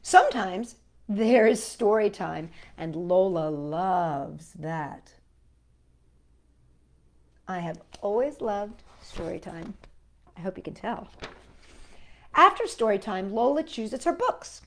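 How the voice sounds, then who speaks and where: A woman's voice: mid-range voice, animated, slow pace, pauses often. A woman hosting a children's storytime, reading a picture book expressively and chatting to young listeners in asides.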